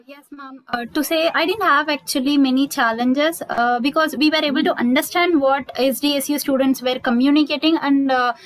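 High-voiced woman, halting and formal. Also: no room sound. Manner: hesitant, many "uh" fillers, formal phrasing. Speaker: student panelist. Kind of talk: video call panel answer. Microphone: video call.

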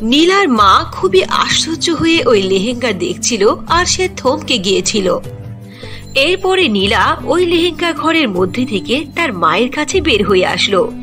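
Female narrator for cartoon fairy tales, high-pitched and very expressive. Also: measured pace. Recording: music behind the voice.

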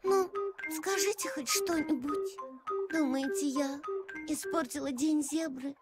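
A young cartoon zebra girl with a very high, childlike voice. She is earnest and bossy, lecturing at an unhurried pace over music. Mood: apologetic. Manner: lecturing, insistent. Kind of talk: animated children's cartoon acting. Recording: music under the voice.

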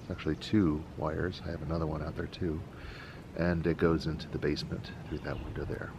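A middle-aged man hosting a casual explanatory vlog in a halting, unhurried way, recorded outdoors in a backyard. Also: very deep voice, little pitch movement, muffled recording, background noise. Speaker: channel host.

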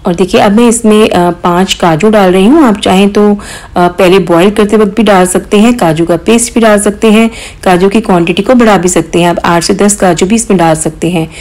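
Calm woman narrating a home recipe. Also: medium pitch, moderate pace. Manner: calm step-by-step instruction with mild hesitations. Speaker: cooking channel host.

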